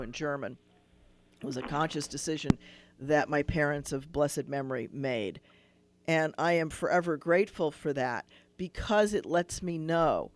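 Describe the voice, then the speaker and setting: A man hosting a radio call-in show as a rabbi, explaining at length and thinking aloud with restarts. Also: fairly high pitch.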